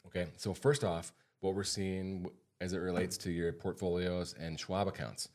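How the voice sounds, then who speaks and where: A man with a low-pitched American voice hosts a casual podcast. He speaks like an advisor chatting with listeners, repeating key points for emphasis in an informal way. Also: steady tone, moderate pace, darkish tone. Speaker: podcast host and financial advisor.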